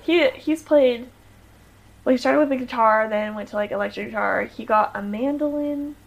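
A woman's voice: a little high, normal speed, creaky. A young American woman, a musician guest on a podcast, chatting casually with many fillers and frequent laughs. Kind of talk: casual chat.

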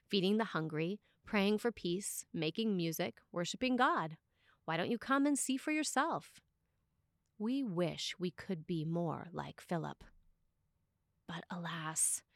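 A woman's voice: medium pitch, fairly bright tone, no room sound. Woman reading a sermon in dry sound. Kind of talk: scripted sermon read aloud for a podcast.

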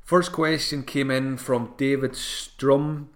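A man with a voice growing hoarse talks through his picks on a solo podcast. He thinks out loud, often restarting phrases. His pitch sits in the ordinary middle range. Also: steady tone, slow pace. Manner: thinking aloud, frequent restarts and fillers. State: voice getting hoarse. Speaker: podcast host. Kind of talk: solo podcast advice talk.

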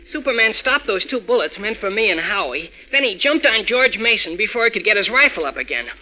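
A man's voice: high-pitched, big pitch swings, a little fast, bright, forceful tone, full character voice, poor audio. A man playing an eager, slangy boy cub reporter in a breathless 1940s radio drama style. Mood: excited, earnest.

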